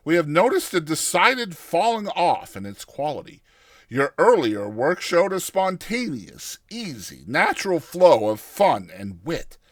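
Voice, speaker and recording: A man voicing a formal, regretful male magazine editor with a stiff, measured way of talking in a dramatized story. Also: fairly high pitch, fairly bright tone, dry recording, studio quality, full character voice.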